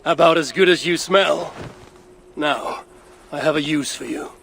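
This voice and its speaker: A stern warrior god with a somewhat high male voice, curt and imperious. He gives orders with dry mockery in game cutscene style, with clear background noise. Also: measured pace, fairly bright tone. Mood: dry banter. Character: commanding one-eyed male god-warrior, stern and dryly mocking. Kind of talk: video game cutscene acting.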